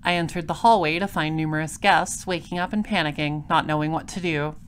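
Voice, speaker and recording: Woman playing space cop detective narrating audio drama, lowish voice, dry n composed, slight background noise. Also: fairly even intonation, brisk, close to an everyday voice. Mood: matter-of-fact. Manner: composed, dry, matter-of-fact. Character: composed, droll female space patrol detective.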